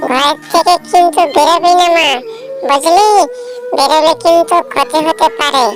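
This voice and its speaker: A woman voicing a caring, anxious mother tailorbird in a children's cartoon fable, with drawn-out, pleading emphasis. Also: very high voice, fairly even intonation, music in the background. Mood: doting, cautioning.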